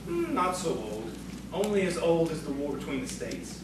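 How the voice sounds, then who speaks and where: Man playing measured, higher-voiced scholar in echoey room. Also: huge pitch swings. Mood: knowing. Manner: measured, knowing, gravely cautioning. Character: calm, scholarly storyteller, a male writer. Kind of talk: audio theatre acting. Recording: very echoey, distant, noisy room.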